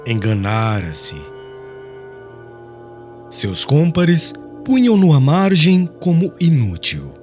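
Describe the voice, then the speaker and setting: A man's voice: a little high, wildly swooping pitch, takes it slowly, long pauses, a little mellow, faint music behind. A man narrating a literary audiobook in a scripted, solemn and formal dramatic style.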